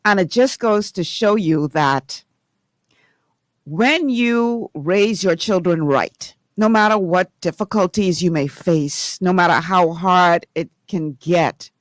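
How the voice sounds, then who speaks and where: A radio show host with a Bahamian accent, chatting casually and excitedly before turning to earnest, preacher-like encouragement. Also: slow, dry recording. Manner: animated, laughing, swings into high excited tones.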